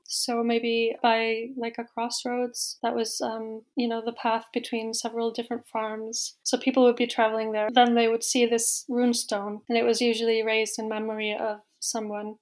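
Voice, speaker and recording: A woman's voice: fairly high pitch, a little mellow. A woman explaining her field as an interview guest, in an informal, conversational way with hedging words. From Iceland. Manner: informal, hedging with "like" and "you know". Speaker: interview guest, Viking scholar and author.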